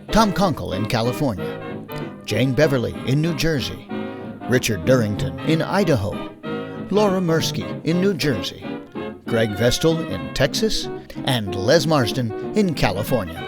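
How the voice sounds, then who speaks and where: A man hosting an audio drama show, giving scripted closing narration. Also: medium pitch. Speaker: producer, director and host. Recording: music behind the voice.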